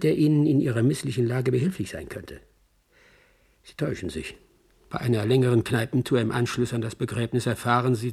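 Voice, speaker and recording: A man acting a dry, knowing agent who recounts a case in a radio play, measured and wry, with a gravelly, husky voice. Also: mid-range voice, a little mellow, heavily acted. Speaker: narrator. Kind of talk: radio drama commentary.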